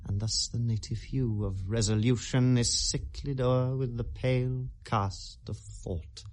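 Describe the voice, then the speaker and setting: An actor with a British accent playing a bitter, volatile young prince in classical Shakespearean radio drama, in theatrical, deliberate verse. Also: male voice, mid-range voice, fairly even intonation, a little mellow, natural voice. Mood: brooding, hushed. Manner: theatrical, deliberate verse delivery swinging to outbursts.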